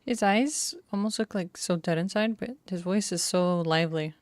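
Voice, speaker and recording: A woman's voice: on the low side, moderate pace, dry recording. A woman streamer giving casual, chatty commentary, reacting aloud to a game's story. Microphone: close streaming microphone.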